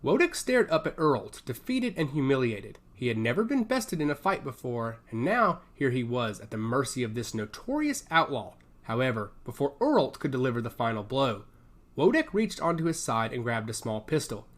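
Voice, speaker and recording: A male narrator reads a scripted action story in dramatic audiobook style. His voice sits at a medium pitch and moves with lively, varied intonation. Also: moderate pace.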